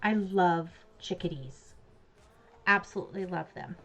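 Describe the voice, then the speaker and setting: A woman's voice: on the low side, a little slow, fairly bright tone. A woman with a Canadian accent chatting casually about her crafting projects, giggly and self-mocking. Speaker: crafts vlogger. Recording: slightly dull lo-fi sound.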